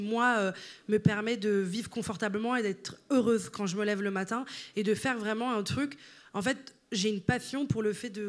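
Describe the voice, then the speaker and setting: A woman who grew up in Italy, Italian as her first language, talking at length as an interview guest with frequent fillers. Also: mid-range voice. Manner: fluent and expansive, many fillers. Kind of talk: interview conversation.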